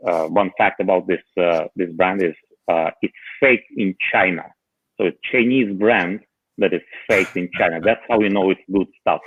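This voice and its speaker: A man chatting casually about home gadgets as a podcast panelist, halting often with fillers and restarts. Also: deep voice, no room sound. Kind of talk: casual tech chat. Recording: tinny phone-like line.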